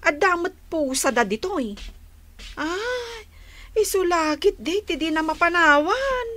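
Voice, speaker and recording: Flustered young daughter, Ilocano accent, bright lively intonation, exclamatory, hesitant, radio drama. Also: female voice, very high-pitched, slow, exaggerated voice. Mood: resolute, worried. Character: dutiful, exasperated young daughter, easily flustered.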